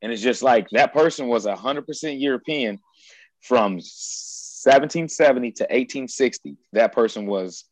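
A man from the United States hosting a casual chat, excitable and slangy, often repeating himself. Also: mid-range voice, moderate pace, dry recording, rough recording. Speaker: podcast host. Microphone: headphones with mic on a video call.

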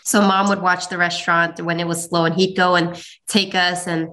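A woman's voice: on the low side, little pitch movement, moderate pace, fairly bright tone, rough recording. A young woman whose first language is Cantonese, answering interview questions modestly and laughing easily. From China. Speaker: interview guest.

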